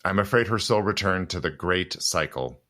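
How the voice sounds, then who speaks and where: A man playing a suave, teasing gentleman protector in a period audio drama, courtly and wry with old-fashioned phrasing. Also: low-pitched, animated, moderate pace. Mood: solemn, gentle. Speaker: actor.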